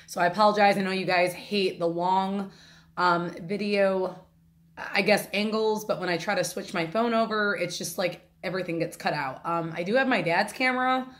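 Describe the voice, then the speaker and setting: A woman's voice: mid-range voice, moderate pace. A woman in her thirties talking to her phone camera from a home kitchen, rambling and apologetic with many fillers and restarts, a little congested from a sinus infection. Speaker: vlogger. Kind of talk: casual talking-to-camera vlog. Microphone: phone camera at arm's length.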